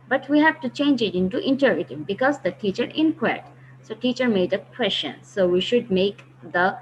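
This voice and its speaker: A woman teaching a grammar lesson, patiently explaining step by step and slowing down to read example sentences. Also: mid-range voice, a little hiss or hum, poor audio. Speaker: English teacher.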